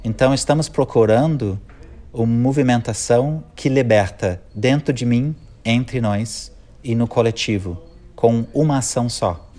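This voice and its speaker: A middle-aged man from England with an English accent, speaking Portuguese as a second language, giving thoughtful, measured interview answers as a conflict mediator, pausing and restarting phrases as he thinks. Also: medium pitch, mellow.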